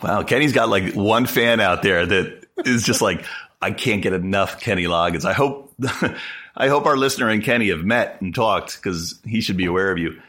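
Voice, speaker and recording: A man's voice: on the low side, normal speed, a bit bright. A man in his forties co-hosting a podcast, chatting casually and joking around. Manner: joking, slips into a mock radio announcer voice. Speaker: podcast co-host. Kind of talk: casual chat.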